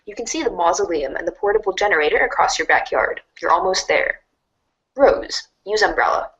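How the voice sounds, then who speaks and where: A woman narrating a second-person storybook in an expressive, wry way. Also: medium pitch, fairly quick, highs cut off.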